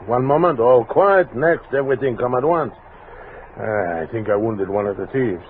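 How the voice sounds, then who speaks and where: An actor playing a rough, excitable scout speaking halting broken English in a Western radio drama. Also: male voice, mid-range voice, natural voice. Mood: rueful, excited. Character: rough excitable French-Canadian frontier scout. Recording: tinny noisy old radio recording.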